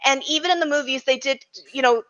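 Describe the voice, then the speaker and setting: A woman's voice: high voice, fast, fairly bright tone, dull audio. A woman chatting casually as a podcast panelist, speaking emphatically and repeating phrases for stress. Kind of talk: casual roundtable chat.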